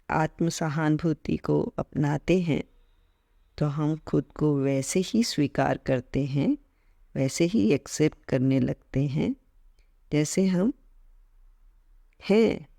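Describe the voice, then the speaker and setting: A man leading a guided meditation as an instructor, speaking calmly in short measured phrases with gentle pauses. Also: a little high, creaky, no echo, studio quality. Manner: mixes English words into Hindi, repeating terms in both.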